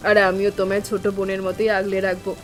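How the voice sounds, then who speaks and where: Calm, protective elder sister-in-law, acted by a woman, music behind. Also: medium pitch, rapid-fire, close to an everyday voice. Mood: soothing. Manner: reassuring, gently instructing. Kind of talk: Bengali animated family drama dialogue. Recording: music bed with rain sounds.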